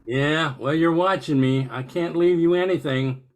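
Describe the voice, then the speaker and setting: An older man playing an impatient, gravelly crook disguised as Santa in an amateur radio play. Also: fairly high pitch, unhurried, close to an everyday voice. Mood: impatient, grudging.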